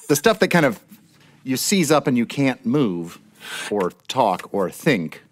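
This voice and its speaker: A man's voice: medium pitch, sing-song, takes it slowly, a little hiss or hum. A man hosting a casual interview, asking questions and laughing along with his guest. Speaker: podcast host.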